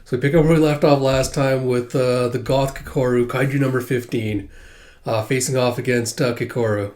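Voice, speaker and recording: A man with a cold and a sore, stuffy throat recapping a manga chapter casually, halting often with filler sounds. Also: mid-range voice, steady tone, darkish tone. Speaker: manga reviewer.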